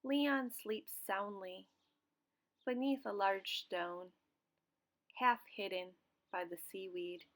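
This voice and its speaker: A woman reading a children's picture book aloud expressively, with deliberate pauses between phrases. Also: mid-range voice, steady tone, fairly bright tone. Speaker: storybook reader.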